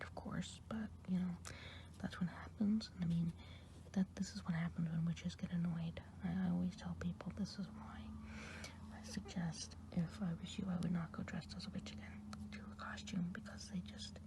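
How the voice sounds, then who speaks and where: Low, mellow whispers from a good forest witch who chats and gossips gently while she tends to you, as a woman acts her out. The voice sits a little deep for a woman and sounds soft and warm. She rambles in a kindly, homely way, like an ASMR roleplay healer. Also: a little hiss or hum, natural voice, rough recording. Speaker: ASMR artist.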